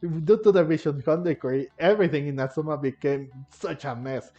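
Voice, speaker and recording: Guy doing a casual let's play, higher mellow voice, keeps rephrasing, game music behind. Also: muffled recording. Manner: halting, repeats and rephrases himself. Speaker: gamer narrating a playthrough. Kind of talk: casual gameplay commentary. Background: video game music.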